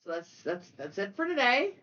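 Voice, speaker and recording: A woman hosting a casual film discussion, speaking haltingly and often repeating and circling back over her words. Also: a little high, very animated, sharp tone, highs cut off. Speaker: podcast host.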